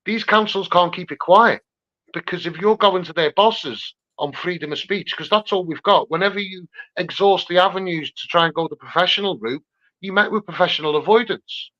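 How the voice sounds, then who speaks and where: A man's voice: fairly high pitch, sharp tone. A man with a Scouse accent giving an impassioned, insistent campaigner's talk full of rhetorical repetition. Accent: Scouse (Liverpool). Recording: slightly dull, lo-fi sound.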